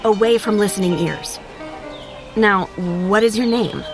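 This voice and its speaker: An actress playing a cautious, probing young hotel worker in an audio drama. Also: female voice, mid-range voice, unhurried, music in the background, lightly acted. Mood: guarded. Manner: cautious, questioning.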